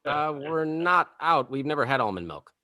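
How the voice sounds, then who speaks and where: Man playing a wisecracking suburban dad with animated pitch. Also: moderate pace. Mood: wry. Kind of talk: comedy table read acting.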